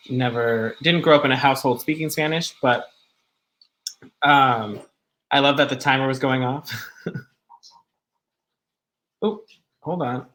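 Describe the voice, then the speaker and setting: A man co-hosts a live stream over a video call, mid-pitched and easygoing. He reacts with eager praise and quick giggles while passing along viewer comments. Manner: enthusiastic, warm reactions, giggly. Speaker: co-host reading viewer comments. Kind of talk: casual live-stream chat.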